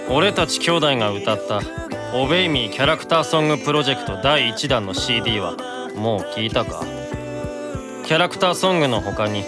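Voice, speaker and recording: A man voicing an earnest, gentle young male demon in a scripted promo for an anime game, plain-spoken and friendly. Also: mid-range voice, natural voice.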